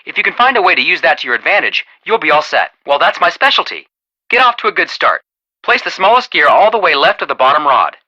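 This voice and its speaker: A man's voice: a little high, sing-song, fast talker, pushed and bright, muffled recording, heavily acted. An actor playing a friendly, earnest young amateur detective in a video game, chatty and reassuring as he gives tips. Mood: helpful.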